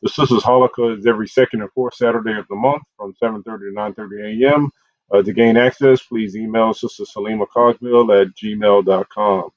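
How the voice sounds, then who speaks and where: A man's voice: lowish voice, fairly even intonation, brisk, dry recording. A man hosting an online community program and reading out announcements over a video call. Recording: dull, lo-fi video call audio.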